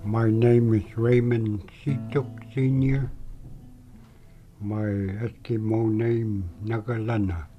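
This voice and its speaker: An older man from Wales, Alaska, recalling his childhood in a halting, plain-spoken way. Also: on the low side, fairly even intonation. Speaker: elder interviewee. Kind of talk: oral history recollection. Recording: slightly dull recording with faint music behind.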